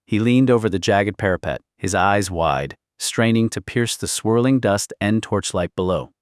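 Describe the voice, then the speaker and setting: A low-pitched man reads a historical saga as an audiobook narrator. His delivery is theatrical and intense, building suspense throughout. The sound is dry and close, with very little room in it. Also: animated, moderate pace, no echo. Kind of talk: dramatic scripted narration of historical fiction.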